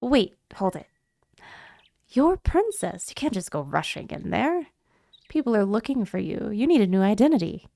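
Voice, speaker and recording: A woman's voice: medium pitch, sing-song, moderate pace, creaky, warm tone. A woman voicing a cocky, casual young male adventurer in a video game, brash and informal. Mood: cautioning.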